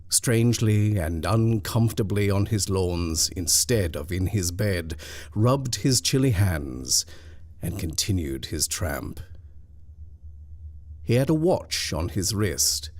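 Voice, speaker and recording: Slow, low-pitched man reading a ghost story. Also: a little mellow. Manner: measured, dramatic storytelling. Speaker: story reader. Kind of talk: scripted narration of a ghost story.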